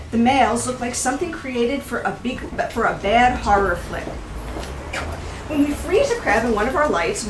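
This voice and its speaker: Higher-voiced woman reading in an echoey room. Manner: animated storytelling with wry humor and emphatic pauses. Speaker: author reading from their book. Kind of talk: expressive live reading of a travel memoir. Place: indoors in a store at a live event. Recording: roomy, distant mic with faint background noise.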